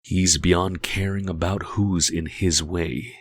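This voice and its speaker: A man playing the grim narrator of a dark comic, reading dramatic scripted narration. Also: sits low, steady tone, slow, exaggerated voice.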